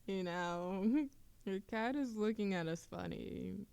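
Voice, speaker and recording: A woman acts out a young witch in love, affectionate and playful but easily flustered, in an intimate romantic audio roleplay. Her delivery is slow, soft and halting, with a teasing edge and a creaky texture. The sound is very dry, with no room around the voice. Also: medium pitch, dry recording. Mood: tender, playful.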